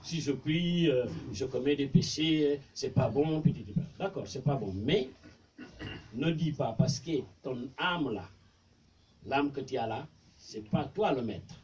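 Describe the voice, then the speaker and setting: A man's voice: medium pitch, expressive, a little slow, a little mellow. Senegalese man preaching from a distance. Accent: Senegalese French. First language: Mandinka. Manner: conversational and repetitive, recites Arabic verses. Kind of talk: informal religious talk. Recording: distant, dull lo-fi with faint noise.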